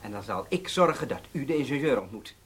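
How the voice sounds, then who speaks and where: An actor playing an obliging, eager hotel host in a radio drama, effusively polite and servile. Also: male voice, mid-range voice, lively intonation, brisk, fairly bright tone, husky.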